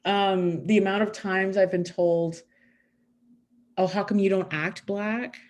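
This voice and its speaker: A woman's voice: medium pitch, takes it slowly. A woman from Canada, a guest on a podcast, talking casually and emphatically, telling stories with bursts of laughter. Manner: emphatic, animated storytelling with laughs. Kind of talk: casual conversation.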